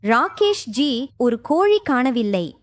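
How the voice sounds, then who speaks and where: A woman's voice: high-pitched, big pitch swings, slow, slight background noise, voice pushed up, full character voice. A woman voicing a clever young village wife in a children's story, with emphatic delivery. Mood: concerned. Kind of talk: children's moral story dialogue.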